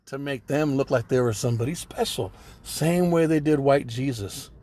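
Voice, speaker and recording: A man's voice: mid-range voice, moderate pace, mellow. A man giving a blunt, profane religious commentary in a casual ranting style, swearing heavily.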